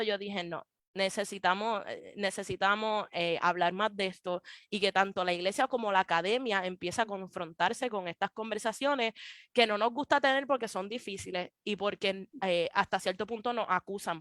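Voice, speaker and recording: A woman's voice: mid-range voice, a bit bright, no echo. Reflective Puerto Rican woman, dry sound. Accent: Puerto Rican Spanish. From Puerto Rico. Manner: reflective, frequent hesitations and English asides. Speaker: guest. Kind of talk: casual conversation.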